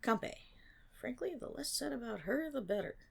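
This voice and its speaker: Woman doing a nerdy girl best friend rambling in an asmr roleplay, kinda creaky voice, animated, jokey, dramatic pauses. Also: sits high, full character voice. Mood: grave storytelling. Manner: animated, wry, dramatic pauses and playful asides. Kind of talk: ASMR roleplay, informal myth storytelling.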